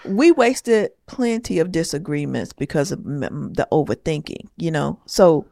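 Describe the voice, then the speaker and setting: Soft-spoken woman with a gravelly edge. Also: sits low, lively intonation, normal speed, no room sound, clean studio sound. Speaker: podcast co-host. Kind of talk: casual married-couple chat.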